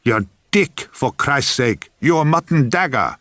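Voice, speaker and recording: A man's voice: mid-range voice, animated, slow pace, sharp tone, no echo, clean studio sound, pitched above the performer's own voice. A man voicing a brash, impatient film production assistant in an audiobook, blunt and emphatic with hissing exasperation.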